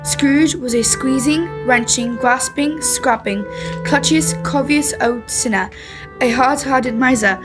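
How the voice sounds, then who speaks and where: A woman's voice: sits high, slow. Young woman as a radio narrator over music. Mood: ominous. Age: teenager or young adult. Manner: emphatic, dramatic. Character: storyteller narrating a grim Christmas tale. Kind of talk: scripted radio drama narration.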